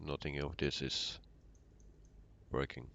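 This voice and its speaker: Deep-voiced Belgian man on a livestream. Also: flat delivery, creaky, bright, forceful tone. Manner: halting, unscripted, answering chat. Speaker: flight simulator live streamer. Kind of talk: casual livestream commentary while playing.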